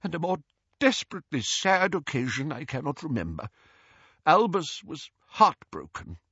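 A man voicing a frail, easily distressed elderly wizard in a storybook, earnest and feebly protesting in a trembling, bleating croak. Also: extremely high pitch, a little slow, pushed and bright, no room sound, lo-fi sound, pitched above the performer's own voice, full character voice. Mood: mournful.

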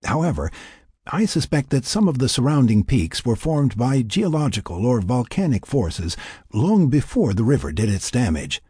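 A man voicing a reflective, formal scientist keeping an expedition journal in an audiobook, in measured, wordy phrases. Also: medium pitch, very animated, mellow, breathy edge, no echo, clean studio sound, pitched above the performer's own voice. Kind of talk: first-person audiobook narration.

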